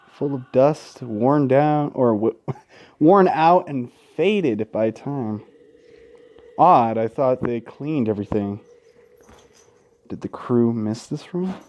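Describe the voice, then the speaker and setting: A man's voice: medium pitch, mellow. A man hosting a let's play in an informal, sweary way, mixing casual gaming commentary and asides with game text read aloud.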